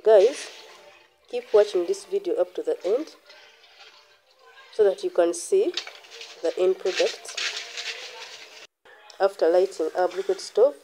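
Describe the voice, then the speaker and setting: A young woman hosting her channel, giving clear step-by-step instructions as an outdoor phone-filmed lesson. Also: mid-range voice, slow pace, long pauses, warm tone, slight background noise, low-quality recording. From Uganda. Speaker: channel host. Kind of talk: how-to voice-over.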